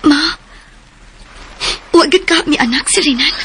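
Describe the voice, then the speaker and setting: A tearful young wife. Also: female voice, high voice, measured pace, a bit bright, a little hiss or hum. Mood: troubled. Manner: pleading and tearful. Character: troubled young married woman haunted by a child's cry. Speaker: radio drama actress.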